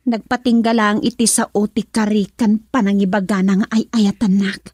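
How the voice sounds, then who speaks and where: A woman's voice: mid-range voice, fairly even intonation, normal speed, darkish tone, breathy edge, no room sound, clean studio sound, close to an everyday voice. A woman acting a heartbroken young daughter in an Ilocano radio drama, with an Ilocano accent. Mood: sad, resigned.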